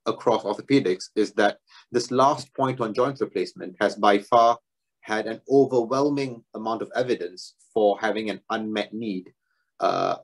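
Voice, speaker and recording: A young man from Singapore giving a relaxed academic talk as a doctoral student, self-deprecating and dotted with fillers. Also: on the low side, rough recording. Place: home room, video talk.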